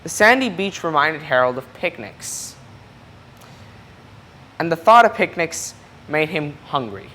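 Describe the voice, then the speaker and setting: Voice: medium pitch, very animated, unhurried, long pauses, pushed and bright, a little hiss or hum. A person reading a children's picture book aloud expressively, explaining things and putting questions to young listeners. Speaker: storybook reader.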